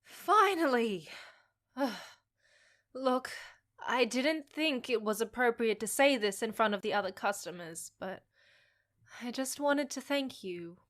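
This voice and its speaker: An actress playing a frank, wry half-human job seeker in a fiction podcast, candid and slightly awkward. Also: female voice, fairly high pitch, expressive, takes it slowly, a bit bright. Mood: grateful, candid.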